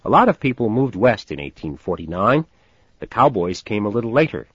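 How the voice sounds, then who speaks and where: An easygoing old rancher. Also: male voice, fairly low pitch, sing-song, a little fast, fairly bright tone, slightly rough, dry recording, full character voice. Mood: explaining. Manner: easygoing, storytelling. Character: friendly older male rancher recounting Old West history. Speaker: farmer in a language-course dialogue. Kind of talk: scripted course dialogue. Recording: dull lo-fi course audio.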